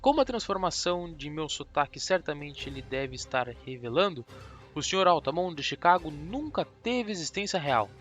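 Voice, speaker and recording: A man reading a smug cool detective, ironic, fairly high voice. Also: animated, moderate pace, fairly bright tone, faint music behind. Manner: dry, mocking, self-assured.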